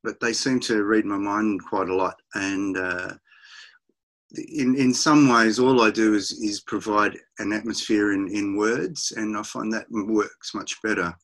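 A middle-aged Australian man, a musician, chatting over a video call from his home music room with halting, restarting speech. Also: on the low side, fairly even intonation, a bit bright. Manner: halting, many restarts and fillers, laughs often. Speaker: musician guest.

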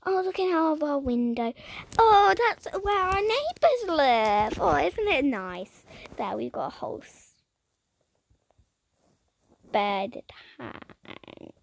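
A woman giving playful, dramatic commentary over a video game, her voice croaky and strained. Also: high voice, big pitch swings, slow, long pauses. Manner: dramatic, silly, swings between shouting and whispering. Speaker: gaming YouTuber.

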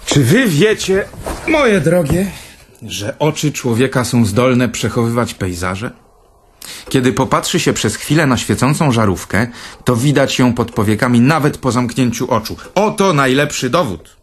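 An actor playing a vain, theatrical older brother in a radio play, telling tales in a pompous manner. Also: male voice, medium pitch, expressive, a little slow, husky. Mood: grandiose storytelling. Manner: pompous, storytelling.

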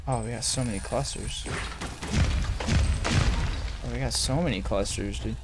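A man's voice: mid-range voice, moderate pace, heavy background noise. A young man giving casual, slangy, rambling and self-deprecating commentary while he plays a video game. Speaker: gaming video host. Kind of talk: casual let's-play commentary.

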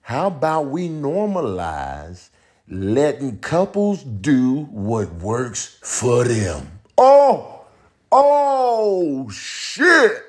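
A man's voice: medium pitch, wildly swooping pitch, extremely slow, husky, heavily acted. A man voicing a bossy, trash-talking animal in a comic voice-over, with slangy, repetitive banter. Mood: frantic, pleading. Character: bossy, trash-talking male animal in dubbed comedy clips.

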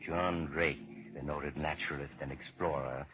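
Sinister radio mystery narrator, extremely deep, theatrical, on a muffled vintage recording. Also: male voice, steady tone, unhurried. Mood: ominous. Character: sinister, ominous male storyteller of murder tales. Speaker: narrator and host. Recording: dull, lo-fi 1940s radio recording.

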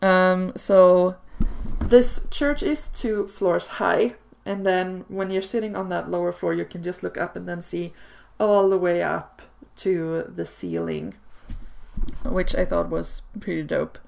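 A woman whose first language is Swedish, chatting casually over gameplay footage in a relaxed way, with frequent ums and small laughs. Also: lowish voice, slow, vocal fry, slight background noise. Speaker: video creator. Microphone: home desk microphone.

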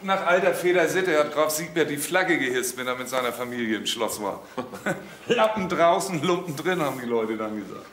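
A man's voice: fairly high pitch, expressive, normal speed, a bit bright. A man playing a gossipy, scornful villager in a crime drama, talking in a wry, colloquial way. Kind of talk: German crime drama acting.

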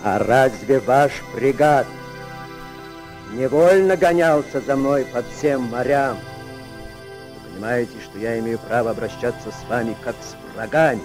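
An actor in a radio play voicing a proud, commanding, reclusive submarine captain, cold and measured. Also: male voice, mid-range voice, a little mellow, faint music behind, natural voice. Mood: cold menace.

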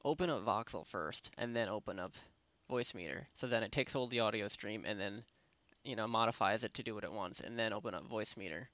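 Rambling young man explaining software. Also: fairly low pitch, fast talker, no room sound. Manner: rambling, many fillers and self-corrections. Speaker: tutorial host. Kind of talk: casual screen-recorded software tutorial. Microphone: desktop microphone at a PC.

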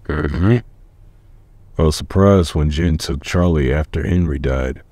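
A man voicing a watchful, world-weary middle-aged man in a comic dub, terse, measured and dryly wry. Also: extremely low pitch, mellow, dry recording. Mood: reflective. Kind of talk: dramatic dialogue. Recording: music behind the voice.

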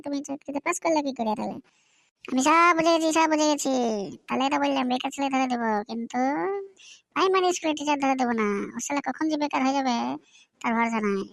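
A woman's voice: high voice, fast talker, no room sound. A woman voicing a hot-headed, scornful young man in a comic cartoon. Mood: mocking outrage. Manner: loud, scornful, foul-mouthed. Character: hot-headed, mocking young man guarding his sister's marriage.

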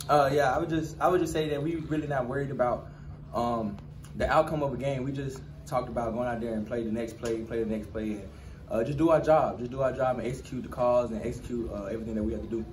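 A young man in his twenties, a college football player answering questions at a post-game press conference, talking quickly in relaxed slang and repeating phrases. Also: medium pitch. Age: 20s. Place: crowded press room indoors. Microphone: cluster of handheld press mics. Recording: roomy distant press room with noise.

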